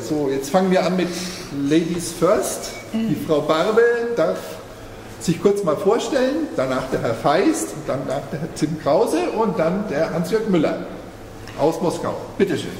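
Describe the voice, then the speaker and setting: A man's voice: on the high side, lively intonation, unhurried, a little mellow. A man informally moderating a panel discussion and handing over to the speakers. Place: large conference room indoors. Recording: echoey hall, faint background noise.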